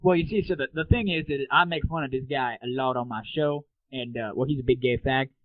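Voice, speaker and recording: A man's voice: medium pitch, fairly quick, no room sound. A man hosting an internet comedy radio show, talking casually in crude slang. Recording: lo-fi, phone-like narrowband audio.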